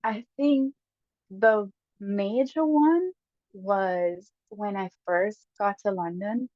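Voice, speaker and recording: Guyanese woman, lively storyteller. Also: mid-range voice, no room sound. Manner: lively, laughs often, quick bursts when excited. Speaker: guest. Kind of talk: casual storytelling chat. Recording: dull lo-fi dry sound.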